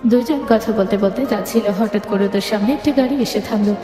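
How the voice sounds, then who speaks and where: A woman's voice: medium pitch, brisk. A woman narrating a scripted story in the third person. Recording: echoey long reverb, music bed.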